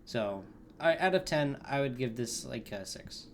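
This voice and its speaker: A man's voice: medium pitch, lively intonation, a little slow. A man co-hosting a gaming video, talking casually in a laid-back, somewhat hesitant way. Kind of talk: casual chat, game commentary. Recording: faint background noise.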